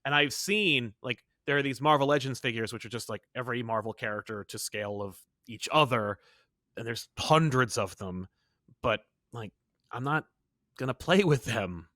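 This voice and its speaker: Bright-voiced man hosting a casual chat. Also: mid-range voice, normal speed, no room sound, studio quality. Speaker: podcast host. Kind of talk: casual pop culture chat.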